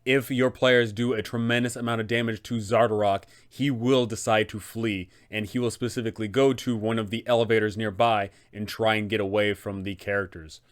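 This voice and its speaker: A man's voice: lowish voice, steady tone, fairly quick, few pauses. A young adult man hosting an explanatory tabletop game video, speaking in an enthusiastic, emphatic way. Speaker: host, game master advisor. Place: small room indoors, seated.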